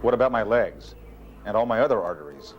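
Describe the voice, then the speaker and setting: A man's voice: lowish voice, expressive, fairly quick, highs cut off, faint music behind, close to an everyday voice. A man acting a worried, skeptical middle-aged heart patient in a medical dramatization. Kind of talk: acted scene in a medical dramatization. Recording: noisy room ambience.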